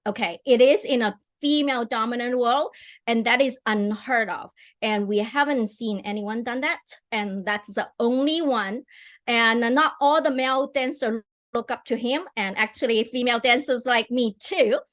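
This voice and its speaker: A woman's voice: on the high side, moderate pace, fairly bright tone. A middle-aged woman hosting a live online interview over a video call, chatty and enthusiastic, with many fillers and restarts. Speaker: host.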